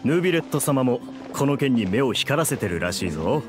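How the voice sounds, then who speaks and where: A man's voice: medium pitch, very animated, a little fast, music in the background, close to an everyday voice. An actor playing a chatty, gossipy but loyal policeman in a Japanese video game, casual and conversational.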